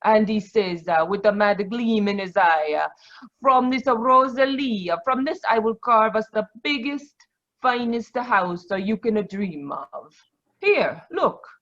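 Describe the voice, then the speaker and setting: Woman acting a halting radio-drama witness, dull sound. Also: mid-range voice, animated, a bit bright. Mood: dramatic recounting. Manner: halting, theatrical. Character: halting, scornful woman witness in detective story. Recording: dull live video call audio.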